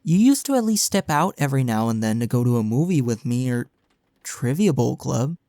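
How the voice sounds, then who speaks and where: A man plays a gentle young male best friend in an intimate audio roleplay. His voice is mellow and warm with a creaky edge, recorded very dry and close. He speaks earnestly and frankly, offering reassurance. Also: medium pitch, very animated, brisk, dry recording. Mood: frank, concerned.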